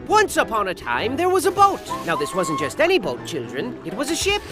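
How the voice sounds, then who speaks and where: A man playing an excitable, sarcastic, childish narrator of a comedic storybook tale in a cartoon game, with an over-dramatic delivery and silly asides. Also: extremely high pitch, brisk, exaggerated voice. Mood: playful storytelling. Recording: music behind the voice. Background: music.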